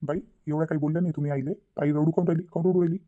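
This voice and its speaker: A man's voice: fairly high pitch, fairly even intonation, rapid-fire, narrow, tinny audio, close to an everyday voice. A man playing a concerned, stern adult son in a cartoon family drama, questioning and giving orders. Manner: questioning, commanding. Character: concerned, stern adult son and husband, taking charge at home.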